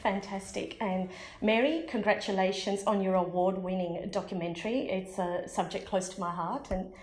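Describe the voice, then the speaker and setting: A woman's voice: mid-range voice, fairly even intonation, moderate pace, darkish tone. Courteous woman interviewer in a roomy space. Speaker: TV show interviewer. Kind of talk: scripted interview questions.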